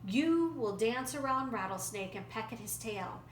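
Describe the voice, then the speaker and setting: A woman voicing a calm, encouraging female creator spirit of the desert in a storybook tale, patient and reassuring. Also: a little high, measured pace. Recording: roomy echo, faint background noise.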